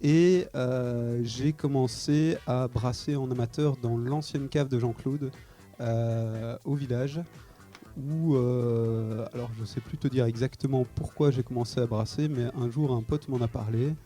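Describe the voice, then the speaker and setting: Man, brewer guest, very dark mellow voice, easygoing, faint music. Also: medium pitch, a little slow. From Belgium. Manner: relaxed, laughs easily, self-deprecating. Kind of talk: radio interview. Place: live broadcast from a wine shop.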